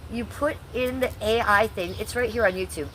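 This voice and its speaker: A woman's voice: fairly high pitch, normal speed, fairly bright tone, slight background noise, rough recording. A middle-aged woman from Ohio, talking bluntly and sarcastically to her chat on a livestream, swearing freely. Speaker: livestream host.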